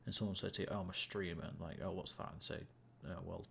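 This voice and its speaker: Young male streamer, low gravelly voice, easygoing rambling chat, faint hiss on the mic. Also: fairly even intonation, fast talker, fairly bright tone. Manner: rambling, self-amused, many fillers and restarts. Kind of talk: casual gameplay chat to viewers.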